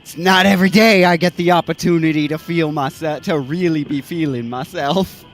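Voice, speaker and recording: A man's voice: on the high side, moderate pace, slight background noise. A man playing a tabletop roleplaying game, chatting casually and cracking jokes and puns. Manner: joking, punning.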